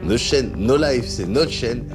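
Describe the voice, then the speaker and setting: A man's voice: mid-range voice, lively intonation, slow pace. A man co-hosting a show in a casual presenting style, with music behind him. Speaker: co-host. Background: music under the voice.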